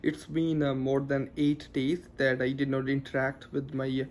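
A man's voice: medium pitch, monotone, measured pace, a little mellow. A young man with a Punjabi accent, chatting earnestly to camera in a casual vlog, with many filler sounds, recorded indoors on a small handheld mic. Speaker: vlogger. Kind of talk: casual talking-head vlog. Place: living room in daylight. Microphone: handheld lapel mic close to mouth.

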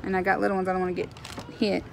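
A woman, talking in a hushed, anxious way into a phone held at arm's length inside a dark home. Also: lowish voice, monotone, racing, vocal fry, noisy background. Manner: hushed and anxious, urging listeners to hear the storm. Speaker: homestead vlogger. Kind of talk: casual vlog chat. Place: dark mobile home during a hurricane, indoors.

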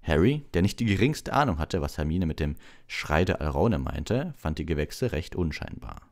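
Man reading a relaxed bedtime story. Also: a bass voice, normal speed. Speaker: audiobook reader.

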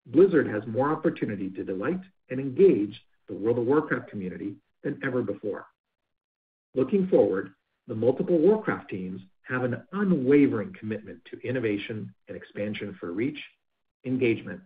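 A man, a company executive, reads prepared remarks at a steady, scripted pace on a results conference call. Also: mid-range voice. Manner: steady scripted corporate reading. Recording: phone-line audio of a conference call.

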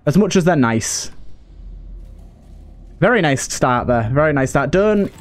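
A man's voice: fairly high pitch, lively intonation, a little slow. A man giving casual live video game commentary, thinking aloud and chatting to the characters as he plays. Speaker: host of a video game let's play. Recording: background game music. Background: game music.

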